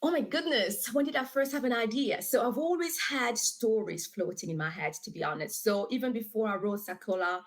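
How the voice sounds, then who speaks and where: A woman from DR Congo whose first language is French, talking about her writing as a podcast guest. She speaks in an animated, talkative way over a video call. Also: on the high side, fairly quick, hardly any pauses. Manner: animated and talkative, with frequent fillers and laughs. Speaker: author and guest. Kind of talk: casual interview.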